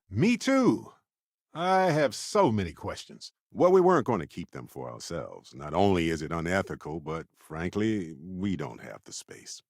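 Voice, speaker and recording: Expressive, low-voiced male space explorer. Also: vocal fry, no room sound, studio quality, heavily acted. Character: wry, adventurous, warm-hearted male spacefaring explorer companion. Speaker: video game voice actor. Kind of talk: acted video game companion dialogue.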